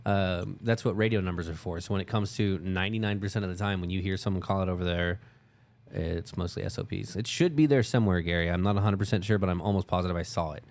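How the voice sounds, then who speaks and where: A man's voice: low-pitched, fairly even intonation, fast, darkish tone. A man co-hosting a casual, unscripted work talk show, explaining things informally. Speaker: co-host, security company lieutenant. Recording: clear background noise, room ambience.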